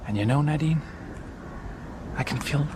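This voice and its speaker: A man's voice: a little high, normal speed, background noise, close to an everyday voice. An actor playing a numb, introspective man in a radio drama, subdued and reflective, with faint ambience behind. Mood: numb, wistful.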